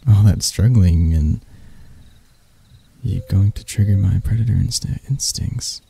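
A man voicing a sadistic, seductive male spider-elf predator in intimate ASMR roleplay, breathy and teasing with his endearments. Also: fairly low pitch, warm tone, heavily acted. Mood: predatory, teasing. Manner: slow, teasing, purring endearments.

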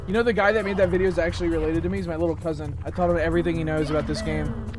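A man in his twenties streaming a game, joking crudely with friends and yelling at his own mistakes. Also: a little high, steady tone, racing. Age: 20s. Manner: crude, joking, sudden frustrated outbursts. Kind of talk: casual gaming stream chat. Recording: game music playing behind. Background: game music.

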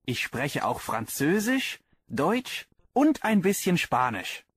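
A husky young man student, read by a man introduces himself slowly, with a lively, rising and falling pitch. Each model sentence is spoken with care. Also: dry recording, clean studio sound, full character voice. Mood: careful. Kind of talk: slow scripted model sentences.

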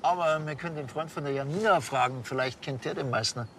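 An actor playing a cheeky, dry village policeman in a TV crime comedy, delivering deadpan remarks. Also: on the high side, fast talker, a little hiss or hum. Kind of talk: television crime comedy acting.

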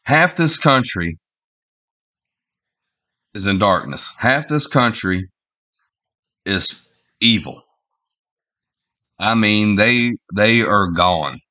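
A man in his forties with a head cold, his voice stuffy and nasal. He talks in a rambling, halting way, full of fillers and restarts, and his lowish voice has a forceful, bright edge. He is recorded on a webcam in a small room at home. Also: animated. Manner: rambling, halting, many fillers and repeated starts. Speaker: online commentator. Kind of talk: casual opinion vlog.

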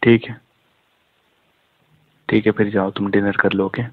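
A man's voice: fairly low pitch, little pitch movement, measured pace. A man chatting casually on a recorded phone call, joking and teasing.

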